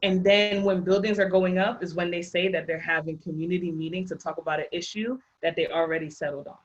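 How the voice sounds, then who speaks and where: A woman's voice: lowish voice, fairly even intonation, a little fast, highs cut off. A woman with a Haitian accent presenting a housing rights workshop over slides, emphatic and repeating her key points. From Haitian background.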